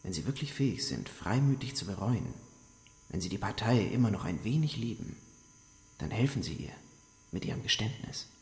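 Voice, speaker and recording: Low-voiced, cold Soviet interrogator, radio drama, insistent and menacing, heard in long hall reverb. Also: male voice, sing-song, normal speed, vocal fry. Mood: coldly persuasive. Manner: insistent, coaxing, formal bureaucratic address. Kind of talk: German radio drama acting.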